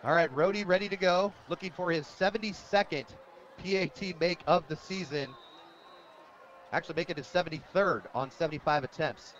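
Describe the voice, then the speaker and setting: A man's voice: a little high, brisk, fairly bright tone. An American man giving live radio play-by-play commentary of a football game. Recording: dull lo-fi radio broadcast audio.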